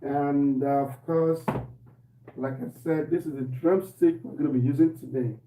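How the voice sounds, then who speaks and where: Casual male cooking host, slightly hoarse, somewhat high voice, echoey kitchen stream. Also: normal speed, distant mic. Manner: relaxed, repeats himself, greets viewers by name. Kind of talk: live-stream cooking demo, chatting to viewers. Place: home kitchen. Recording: echoey room, voice off-mic, faint noise.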